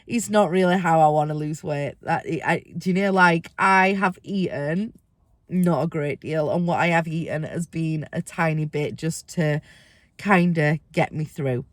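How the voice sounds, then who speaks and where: Woman vlogger, under the weather with a squeaky voice, casual and chatty, bursts of excitement. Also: unhurried, no room sound, clean studio sound. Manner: chatty, excitable, rambling asides. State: ill, squeaky voice. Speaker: weight-loss vlogger. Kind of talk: casual vlog to camera.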